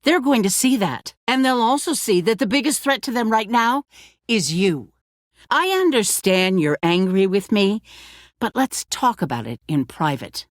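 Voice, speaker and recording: An actress playing a calculating, self-righteous leader of a survivor community in a video game, speaking in a measured, condescending, politician-like way. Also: female voice, mid-range voice, sing-song, no room sound, studio quality. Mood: composed, authoritative. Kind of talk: dramatic video game voice acting.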